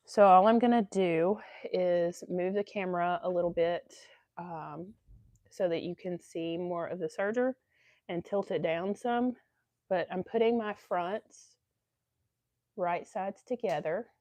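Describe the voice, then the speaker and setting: A woman's voice: fairly low pitch, a little slow, warm tone, no room sound. A woman hosting a casual sewing livestream, stuffy from allergies, chatting along with lots of ums. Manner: chatty, rambling with many ums. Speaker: sewing channel host.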